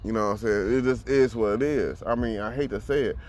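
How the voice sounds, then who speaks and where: A man's voice: lowish voice, brisk, darkish tone, slight background noise. Blunt, profane man in his thirties. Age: 30s. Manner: blunt, profane, repeats words while thinking. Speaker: vlogger. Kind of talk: casual personal monologue.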